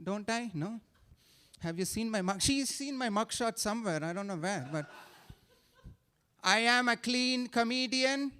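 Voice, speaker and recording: A man's voice: very high voice, expressive, unhurried, long pauses, pushed and bright, clean tone. A man with an Indian accent doing a self-mocking stand-up set on a theater stage, with playful asides to the crowd. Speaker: stand-up comedian.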